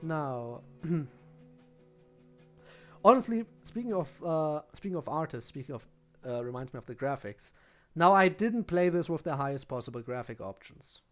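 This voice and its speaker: A man's voice: on the high side, lively intonation, moderate pace, a bit bright, soft background music. Rambling German-accented male game streamer. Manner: rambling, with frequent restarts while answering chat. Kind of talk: casual live-stream chat while gaming.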